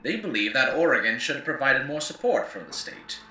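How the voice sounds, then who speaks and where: Man acting forceful, theatrical post-apocalyptic chronicler. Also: medium pitch, very animated, reverberant, distant mic, slight background noise, full character voice, poor audio. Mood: scornful, emphatic. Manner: theatrical, formal, measured oratory with dramatic emphasis. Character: grave, eloquent male chronicler of a post-apocalyptic world. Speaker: narrator and host. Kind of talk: scripted in-character lore narration for an audio series.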